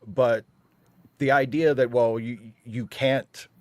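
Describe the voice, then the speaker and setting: A man's voice: medium pitch, steady tone, takes it slowly, a little mellow, no echo. A man giving opinions in a casual panel discussion, emphatic and often rephrasing as he argues. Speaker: panel guest on a live fan show.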